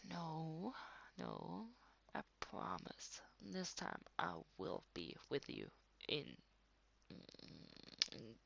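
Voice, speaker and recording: Woman, creaky low voice, breathy near-whispers, hesitant, intimate girlfriend roleplay, tired and affectionate. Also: wildly swooping pitch, highs cut off. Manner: hesitant, halting, with frequent sighs and soft laughs. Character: tired, affectionate writer girlfriend working late at night. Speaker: audio roleplay performer. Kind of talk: improvised intimate one-sided roleplay, speaking to a partner.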